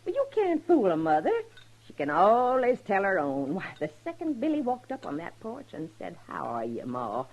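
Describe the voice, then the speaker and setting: A woman's voice: mid-range voice, huge pitch swings, normal speed, a little mellow. An actress playing a chatty, hospitable old ranch widow and doting mother in a Western radio drama, with a rural Western American accent. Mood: proud, happy. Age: older. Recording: noisy old radio recording.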